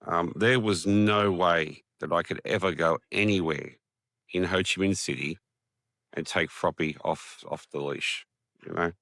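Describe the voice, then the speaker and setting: An Australian man with a croaky voice from a cold, talking casually in a rambling vlog with many ums and restarts, outdoors in a quiet park. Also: deep voice, a little slow, dry recording, clean studio sound. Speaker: vlogger. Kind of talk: casual vlog talk to camera.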